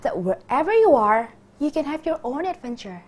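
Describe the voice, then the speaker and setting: A woman co-hosting an online storytelling festival with casual, playful, expressive banter. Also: high-pitched, normal speed.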